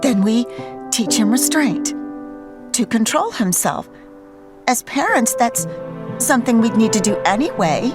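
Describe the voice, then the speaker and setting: A high, slow-paced young mother speaks in an audio drama. She is tender and doting, earnest and gently firm, with music behind her. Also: lively intonation. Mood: gently insistent.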